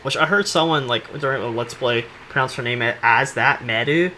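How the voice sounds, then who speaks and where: A man's voice: mid-range voice, moderate pace, bright, forceful tone, slight background noise. A man hosting casual comedic commentary on game cutscenes, joking and rambling in slangy speech. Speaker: video host.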